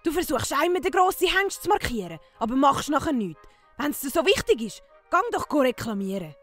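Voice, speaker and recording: A woman's voice: high voice, sing-song, brisk, a bit bright, soft background music. A woman playing a teasing, nagging wife and embarrassing mother in a comic audio drama, scolding with a Swiss German accent. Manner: scolding, provoking.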